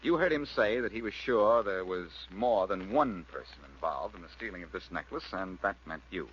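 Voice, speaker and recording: An actor playing a cocky, sardonic amateur detective in a vintage radio drama, on a dull, hissy old recording. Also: male voice, low voice, animated, moderate pace, fairly bright tone. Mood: confident, accusing. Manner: sardonic, taunting, self-assured. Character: cocky, wisecracking amateur detective, reformed rogue.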